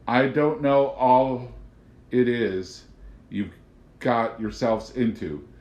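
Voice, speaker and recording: A man's voice: fairly low pitch, natural voice. A man voicing a fatherly, indignant government agent who lectures and scoffs in an audiobook. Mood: scornful. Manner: forceful, lecturing, exasperated. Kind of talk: audiobook character voice. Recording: roomy, echoing home recording.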